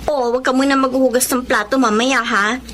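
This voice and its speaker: Casting: spoiled young Filipino woman, high animated voice, radio play, slight noise behind. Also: a little fast. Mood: breezy, bossy. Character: Myra, pampered, vain young daughter. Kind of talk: Filipino radio drama acting.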